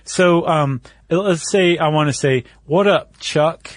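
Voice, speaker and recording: A man's voice: fairly high pitch, slow, no echo. A man co-hosting a casual podcast, explaining things step by step in informal, slangy language. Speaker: podcast co-host.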